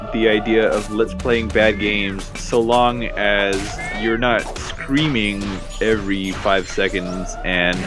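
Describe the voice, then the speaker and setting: A man in casual, crude, sarcastic banter as a co-commentator on a video game playthrough. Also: lowish voice. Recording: music and game sounds behind. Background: loud game music and effects.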